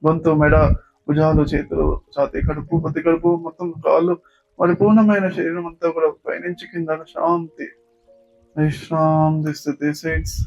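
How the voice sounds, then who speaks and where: A man with a Telugu accent leading a guided yoga nidra meditation as a teacher, giving slow, repetitive, soothing instructions. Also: a little high, steady tone, creaky, darkish tone. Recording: dull lo-fi sound with music. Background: background music.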